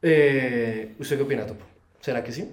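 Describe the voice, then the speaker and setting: A man's voice: medium pitch, normal speed. A man hosting a casual podcast chat, joking and asking his friends questions. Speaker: podcast host. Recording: echoey room.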